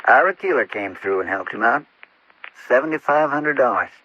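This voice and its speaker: An actor playing a steady, plain-spoken small-town constable with a rural American accent in a vintage radio drama. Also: male voice, medium pitch, muffled recording, lightly acted. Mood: reluctant, thoughtful. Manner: folksy, deliberate, plain-spoken. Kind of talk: golden-age radio acting. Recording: noisy, clicking old radio recording.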